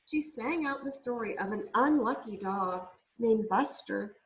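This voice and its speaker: A woman reading a children's story aloud expressively, with deliberate, drawn-out emphasis for young listeners. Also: on the high side, lively intonation, slight background noise, poor audio. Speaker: storybook reader.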